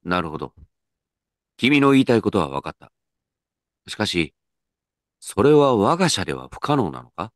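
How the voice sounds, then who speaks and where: An actor playing an earnest, dignified company president in a comedic radio drama, with pompous, measured executive speech. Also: male voice, lowish voice, big pitch swings, dry recording, clean studio sound. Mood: grave, probing.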